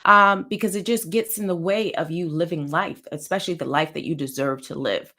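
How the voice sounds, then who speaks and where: A woman's voice: low voice, a little fast, bright, forceful tone. A woman therapist hosting a weekly live show, sounding a little nasal from a head cold, chatting casually and greeting viewers by name. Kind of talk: casual live-stream talk.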